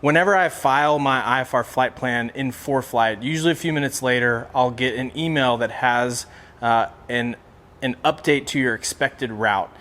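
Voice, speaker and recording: A man's voice: mid-range voice, moderate pace, fairly bright tone. Conversational man teaching practical tips. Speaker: flight instructor, presenter. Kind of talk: casual instructional explanation.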